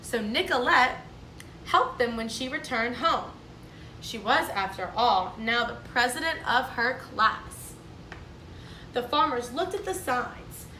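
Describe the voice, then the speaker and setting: A woman, a kindergarten teacher, reading a storybook aloud to children in a clear, explaining, sing-song manner. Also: fairly high pitch, sharp tone, slight background noise. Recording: echoey room, mic at a distance.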